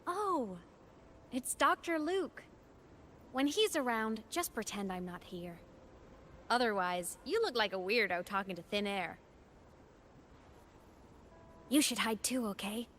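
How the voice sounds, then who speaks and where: High-voiced wistful ghost girl. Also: lively intonation, a little slow, slight background noise. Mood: playful, confiding. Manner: gentle, wistful, teasing. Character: wistful, playful young ghost girl, former academy student. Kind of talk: video game voice acting.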